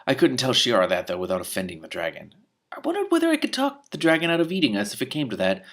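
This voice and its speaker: A man reading a story aloud expressively, adding his own excited commentary. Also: mid-range voice, fast. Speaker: book reader and commentator. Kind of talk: animated read-aloud with commentary.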